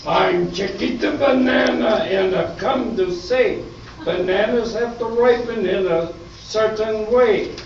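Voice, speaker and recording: Cheerful singing banana mascot played by a man, high and slow jingle, strongly echoing room. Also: slight background noise. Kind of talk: sung commercial jingle in amateur radio play. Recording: very echoey, distant, muffled stage recording.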